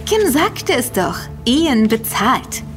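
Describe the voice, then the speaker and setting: An actress playing a young, impatient, sardonic and quick-witted woman in an audio drama, teasing her friends dryly. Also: high voice, very animated, faint music behind, heavily acted. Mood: wry, knowing. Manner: teasing, dry sarcasm. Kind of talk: German audio drama dialogue.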